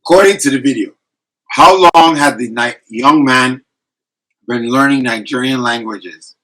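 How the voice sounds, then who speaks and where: A man hosting an online group discussion and quiz, animated and casual, slipping into example voices as he explains. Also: medium pitch, measured pace, poor audio. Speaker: host running an online quiz.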